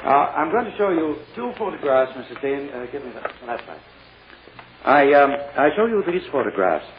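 A man playing an insistent, self-satisfied prosecutor who questions in a halting, courtly, officious way, as in 1940s radio drama. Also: medium pitch, sing-song, lightly acted. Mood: probing, controlled. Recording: dull, noisy old-time radio recording.

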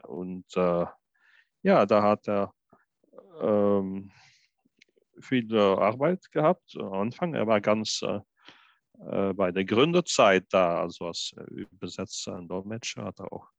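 Man, low gravelly voice, halting with many fillers, casual interview, dry dull lo-fi recording. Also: no room sound. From Belgium. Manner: hesitant, many fillers and restarts. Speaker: interpreter and podcast guest.

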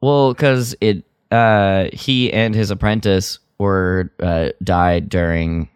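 A man with a fairly low voice talks casually as a podcast co-host. The sound is very dry, with no room around it. He is a little hesitant, feeling for the right words as he explains. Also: fairly even intonation, slow, clean tone, dry recording. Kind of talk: casual chat about Star Wars lore.

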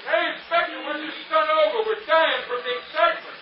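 A man's voice: very high voice, a little fast, over a noisy crowd. An actor playing a slangy policeman calling out from a distance in a 1930s radio drama. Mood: mocking. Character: wisecracking policeman shouting from a roof. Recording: muffled old radio recording.

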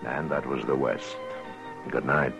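An American actor playing a stern, plainspoken US Marshal in a 1950s radio western, speaking in a terse, grave, deliberate way. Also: male voice, extremely low pitch, steady tone, gravelly, exaggerated voice. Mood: dry. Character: stern, steady frontier US Marshal, weary and plainspoken. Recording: muffled old radio recording, music behind.

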